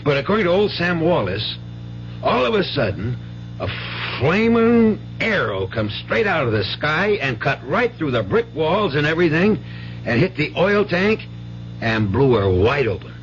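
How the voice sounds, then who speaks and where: A man's voice: on the high side, big pitch swings, normal speed. An actor playing a good-natured, rambling rural American father with a stammering, folksy delivery in a 1970s radio drama. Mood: excited, amused. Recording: dull hissy 1970s radio broadcast with hum.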